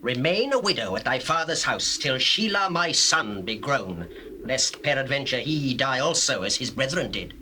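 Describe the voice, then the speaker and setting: An actor playing a stern, solemn biblical patriarch in a theatrical scripture drama. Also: male voice, medium pitch, sing-song, moderate pace, pushed and bright. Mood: grave command. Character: Judah, stern biblical patriarch and father-in-law. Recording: hissy old cassette tape, birdsong behind.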